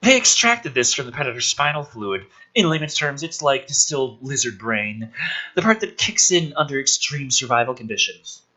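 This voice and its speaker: An earnest, intense scientist read out by a man lays out a theory from a film script. The delivery is hushed and deliberate, pitched low and building with quiet drama. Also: big pitch swings, rough recording.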